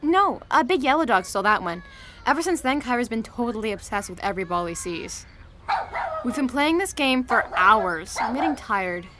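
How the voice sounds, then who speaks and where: A woman's voice: a little high, animated, moderate pace, very noisy, lightly acted. A woman playing a tired dog owner in an audio drama, speaking wearily.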